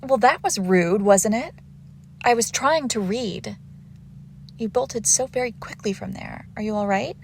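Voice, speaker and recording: Haughty young female vampire, played by a woman, intimate roleplay audio: coy teasing, breathy near-whispers, slow delivery, faint background noise. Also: fairly high pitch. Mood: playful, smug.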